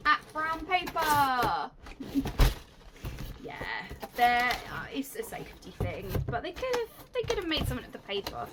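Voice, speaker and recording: A young woman hosting a casual unboxing vlog, chatty and enthusiastic, her voice slightly raspy and going hoarse. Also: sits high, big pitch swings, a little slow, background noise. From United Kingdom. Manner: chatty, enthusiastic, drops to soft whispers at times. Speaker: YouTube host.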